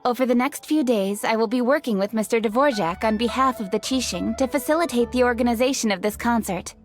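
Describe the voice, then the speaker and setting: A woman's voice: fairly high pitch, brisk, lightly acted. An actress voicing a confident young female official in a video game, direct, businesslike and poised. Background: game music.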